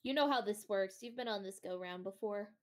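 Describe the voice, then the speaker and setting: A woman joking and teasing in casual, expressive banter during a game stream. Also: mid-range voice, brisk.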